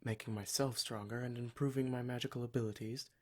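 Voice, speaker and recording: Man voicing a smug, measured, menacing demon. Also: mid-range voice, steady tone, darkish tone, close to an everyday voice. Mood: calm, smug. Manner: measured, condescending, formal villain speech. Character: smug, menacing male demon soul-collector toying with his captive. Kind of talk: ASMR roleplay monologue addressed to the listener.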